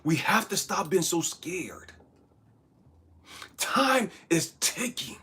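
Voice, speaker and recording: A man giving a blunt, profane motivational talk, shouting one moment and dropping to hushed asides the next. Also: high voice, very animated, slow pace, breathy edge. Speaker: motivational speaker. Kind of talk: impassioned motivational monologue.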